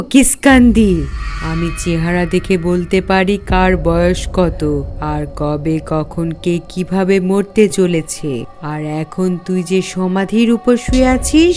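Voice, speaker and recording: A woman acts out a cruel female demon of the hair for a horror cartoon. She speaks low and very slowly, taunting and seductive, with menace in every phrase. Faint music plays underneath. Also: a little mellow. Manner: slow, threatening, taunting delivery.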